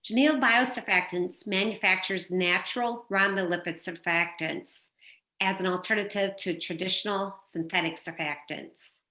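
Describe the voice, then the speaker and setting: A woman's voice: mid-range voice, steady tone, normal speed, bright, forceful tone. A woman moderator reading an upbeat introduction of a speaker on a video call. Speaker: webinar moderator. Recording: echoey room on a video call.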